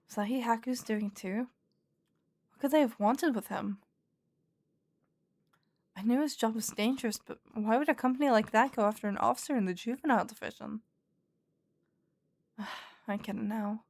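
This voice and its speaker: A woman's voice: on the high side, moderate pace, pauses often, darkish tone. Woman reading as a tough former gang girl. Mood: worried. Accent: mixed Scottish and Canadian. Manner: defiant, resolute. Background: game music.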